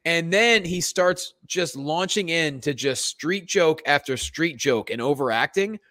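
A man chatting casually and comedically as a podcast co-host, swearing freely as he tells animated stories. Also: a little high, unhurried, no echo, studio quality. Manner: profane, animated storyteller. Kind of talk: casual comedic chat, storytelling.

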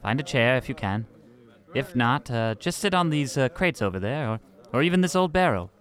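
A man's voice: medium pitch, expressive, normal speed, a bit bright, lightly acted. A man playing a formal, patient military officer in a comedy audio drama. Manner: formal, patient, slightly flustered. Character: polite, fussy male military officer.

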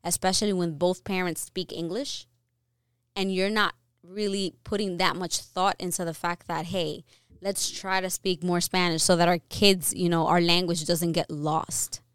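A woman's voice: lowish voice, steady tone, normal speed, clear, resonant tone, dry recording, clean studio sound. A young adult woman from New York, co-hosting a podcast and talking through her opinions in an earnest, casual way, with many fillers and restarts. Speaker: podcast co-host.

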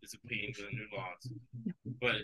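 Rambling man with a sharp, forceful voice. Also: fairly low pitch, fairly even intonation, normal speed, low-quality recording. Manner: rambling, digressive, laughs between points; speaks English as a second language. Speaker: sports betting podcast host. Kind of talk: casual sports betting chat.

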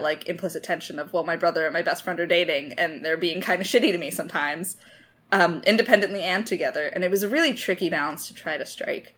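A woman's voice: on the low side, a bit bright. A woman talking casually in a creator Q&A, thinking aloud as a writer in a self-deprecating, hesitant way. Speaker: lead writer of an audio drama podcast.

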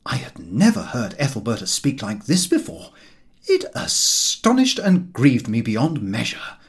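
A man reading a comic literary story as an audiobook, in an expressive, scripted narration. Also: mid-range voice, measured pace, breathy edge. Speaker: audiobook reader.

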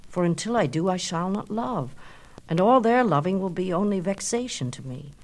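A woman's voice: lowish voice, lively intonation, normal speed, a little mellow, lightly acted. A woman voicing a vain, scornful young man of Greek myth in an impulsive, emphatic way, as in a storybook reading. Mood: vain, dreamy. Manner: emphatic, exclamatory.

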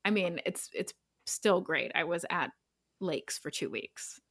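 A woman's voice: lowish voice, animated, measured pace, fairly bright tone, breathy edge, dry recording. Woman in her 30s rambling thru a story, laughs at herself a lot, dry close mic. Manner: rambling, self-deprecating, laughs often. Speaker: podcast co-host. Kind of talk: casual chat.

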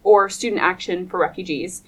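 A woman's voice: fairly low pitch, normal speed, breathy edge, low-quality recording. A young woman hosting a student radio interview in a studio, upbeat and laughing often. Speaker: radio host.

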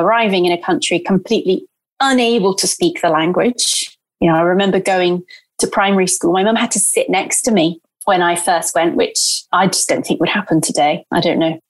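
A woman's voice: fairly low pitch, steady tone, normal speed, poor audio. A woman from Brazil who grew up in England, telling her own story as an interview guest in a chatty, reflective way. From born in Brazil, grew up in England from age eight. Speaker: podcast guest, journalist and writer. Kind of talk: casual personal storytelling in an interview.

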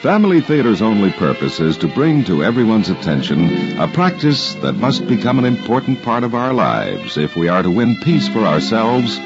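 A formal male announcer from 1950s radio, very animated in pitch and emphatic in delivery. The recording is muffled, with music clearly behind him. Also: moderate pace, few pauses.

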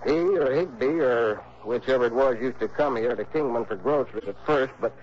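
A man's voice: medium pitch, fairly quick, darkish tone, lightly acted. An actor playing a folksy, crotchety small-town insurance man in an early 1960s radio drama, with a hesitant, exasperated drawl. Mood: suspicious. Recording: muffled, noisy old radio broadcast.

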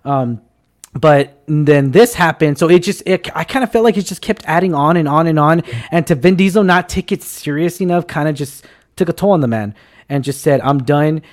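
A man in his twenties hosting a casual chat show, rambling excitably, repeating himself and peppering his talk with slangy asides. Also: fairly high pitch, normal speed. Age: 20s. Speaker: show host. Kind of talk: casual panel chat on film news.

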